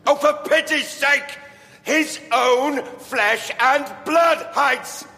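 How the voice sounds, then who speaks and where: Bullying stage director, high for a man, scornful, ringing hall reverb. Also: very slow, vocal fry, exaggerated voice. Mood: furious, scornful. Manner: theatrical, scornful, forceful. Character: harsh, domineering theatre director berating his actors. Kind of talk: scripted audio drama.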